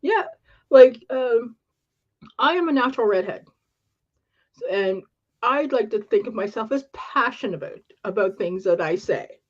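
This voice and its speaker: A woman with an upper-class Canadian accent, talking earnestly in quick fragments as a panelist on a live-streamed chat. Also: a little high, lively intonation, highs cut off.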